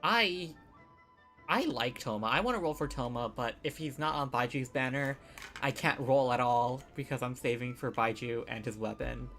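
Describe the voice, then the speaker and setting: Low-voiced woman streaming a game. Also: moderate pace, fairly bright tone, soft background music. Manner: playful, reactive. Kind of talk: casual live game commentary, reading along and reacting.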